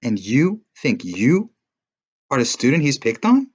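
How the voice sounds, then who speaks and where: A man's voice: fairly low pitch, animated, moderate pace, dull audio. A man voicing a determined, sarcastic teenage girl who confronts her classmate in a read-aloud story. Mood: challenging.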